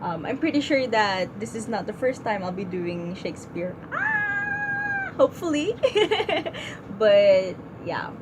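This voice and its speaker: A young woman vlogging in a casual, heartfelt way, with plenty of ums and likes. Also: on the high side. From Philippines. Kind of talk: casual reflective vlog. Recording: noisy room with air conditioning hum.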